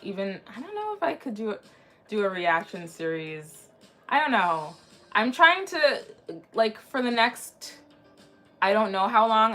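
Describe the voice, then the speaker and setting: A woman in her twenties chatting casually on a livestream in a rambling way, slightly raspy from a sore throat. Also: medium pitch, pushed and bright. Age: 20s. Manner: chatty, rambling, frequently restarting sentences. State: mildly sick with a sore throat. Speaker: anime livestream host. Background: background music.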